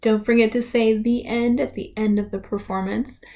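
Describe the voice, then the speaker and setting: A woman giving an instructional presentation for families, speaking with clear, deliberate enunciation. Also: fairly high pitch, fairly even intonation, mellow. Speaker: presenter of a library reader's theater tutorial.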